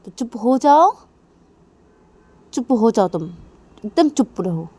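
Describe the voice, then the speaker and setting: A sullen, angry woman played by a female storyteller snaps curt, repeated commands. The voice sits fairly low for a woman in this homemade audio drama, with background noise audible. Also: moderate pace, gravelly. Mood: furious. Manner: curt, forceful, repetitive commands. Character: Nisha, angry, sullen woman snapping orders to be quiet. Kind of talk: acted dialogue in an amateur audio story.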